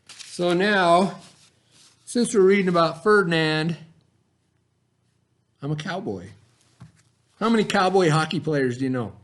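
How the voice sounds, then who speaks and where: A man hosting a read-aloud, telling a children's story expressively with informal asides to his listeners. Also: fairly high pitch.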